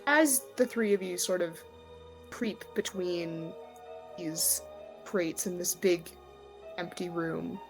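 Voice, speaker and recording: A woman narrates a tabletop roleplaying game as its game master. She sets scenes slowly, in halting, suspenseful phrases, with music playing softly underneath. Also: lowish voice.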